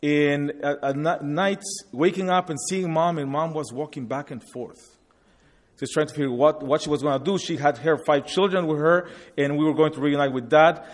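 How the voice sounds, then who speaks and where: Halting man in his forties. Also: fairly high pitch. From Guatemala. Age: 40s. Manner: halting, with many restarts and fillers. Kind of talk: personal testimony talk.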